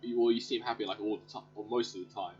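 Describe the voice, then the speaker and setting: A man's voice: on the low side, fairly even intonation, fast talker. A young man giving casual let's-play commentary on a muffled, lo-fi voice track. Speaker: gaming video creator. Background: faint game music.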